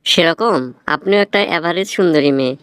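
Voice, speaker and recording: A blunt, teasing male film writer from a comic cartoon , played by a man, with a Bangladeshi accent. His voice is smooth and crisp, recorded very dry, and he lectures with a sardonic edge. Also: fairly high pitch, fairly quick, a bit bright, no room sound, natural voice. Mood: blunt, mocking.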